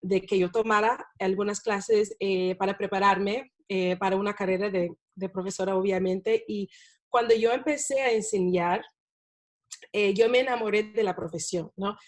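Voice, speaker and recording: A woman, a non-native Spanish speaker, talking as a guest about teaching in a relaxed interview, with many fillers and tag questions. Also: mid-range voice, moderate pace. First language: not Spanish. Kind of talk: casual interview talk.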